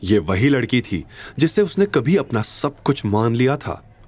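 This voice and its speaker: A man's voice: mid-range voice, sing-song, a little slow, a little mellow. A man narrating a scripted audio drama in a dramatic storytelling style.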